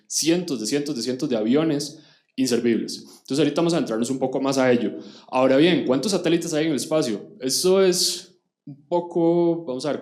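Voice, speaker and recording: A man with a Costa Rican accent delivers a technical conference talk, explaining step by step and speaking straight to the people in front of him. His voice sits at an ordinary middle pitch, and the sound carries a noticeable roomy echo. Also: darkish tone. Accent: Costa Rican Spanish. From Costa Rica. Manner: explanatory, addressing the audience directly.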